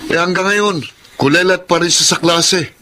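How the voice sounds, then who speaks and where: Stern, forceful Filipino boss. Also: male voice, sits high, steady tone, normal speed, a little hiss or hum. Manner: demanding, curt, disapproving. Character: stern, dissatisfied father employing a private tutor. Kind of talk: Filipino radio drama acting.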